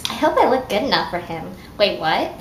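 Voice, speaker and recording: A woman's voice: mid-range voice, big pitch swings, a little fast, natural voice. A woman voicing an earnest, nervous, lovestruck male superhero in a dramatic fan fiction reading. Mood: flustered. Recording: echoey room with clear background noise.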